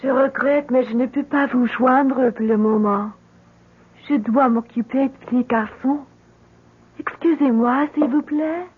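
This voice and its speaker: A woman's voice: sits high, measured pace, narrow, tinny audio. An actress playing a haunted young woman who sounds distant and eerily polite, in 1970s radio drama style. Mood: detached, polite. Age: young adult. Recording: thin, noisy old radio recording.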